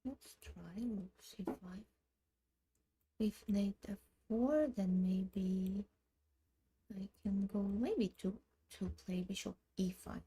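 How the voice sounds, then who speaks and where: A woman in her thirties from Armenia, who speaks Armenian natively, thinking aloud in a slow, halting way while she plays online chess. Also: medium pitch, mellow, husky, poor audio. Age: 30s. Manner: slow, halting, muttering moves half to herself. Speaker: chess streamer.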